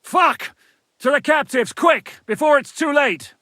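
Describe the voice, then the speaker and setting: An actor playing a brisk, rough-tongued medieval rebel officer in a video game, blunt and authoritative. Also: male voice, very high voice, bright, forceful tone, no echo, studio quality, heavily acted. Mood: urgent, commanding. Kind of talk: video game cutscene acting.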